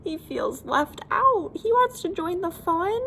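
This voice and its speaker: A woman with a high voice reads a children's story aloud. She is very slow and deliberate, with animated expression for young listeners. There is faint noise behind her. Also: vocal fry.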